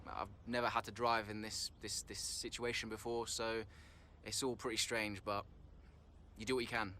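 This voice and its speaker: A man's voice: on the low side, flat delivery, moderate pace, bright, forceful tone, slight background noise. A man playing a good-natured young racing driver in a video game, talking in a hesitant, unassuming, conversational way. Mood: uneasy, hesitant.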